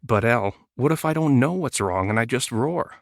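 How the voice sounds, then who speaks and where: A man's voice: medium pitch, lively intonation, normal speed, gravelly, no echo, studio quality. A man voicing an earnest, childlike little male lion cub in a children's storybook. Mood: worried, unsure.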